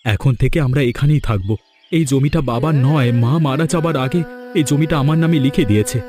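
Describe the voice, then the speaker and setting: A man's voice: mid-range voice, moderate pace, lightly acted. A man voicing an earnest, devoted young husband and son in a fairy-tale cartoon. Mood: reassuring.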